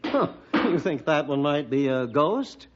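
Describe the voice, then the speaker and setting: A man's voice: a little high, very animated, unhurried, heavy background noise. A male actor playing a defensive, hesitant cabin owner with an American accent in a 1960s radio drama. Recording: dull vintage radio recording.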